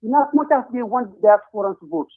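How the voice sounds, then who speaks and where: A man with a strikingly high voice, calling in over a thin, tinny phone line. He talks earnestly and deliberately, stopping often to hesitate as he lays out his points in a political discussion. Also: lively intonation. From Gambia.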